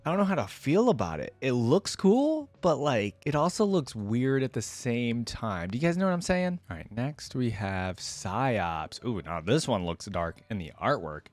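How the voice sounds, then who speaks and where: Young adult man, animated intonation, dry close-mic sound, slangy casual streamer commentary. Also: medium pitch, normal speed, hardly any pauses, dry recording, faint music behind. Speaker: reaction video host. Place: indoor streaming setup. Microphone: close desk microphone with headset on.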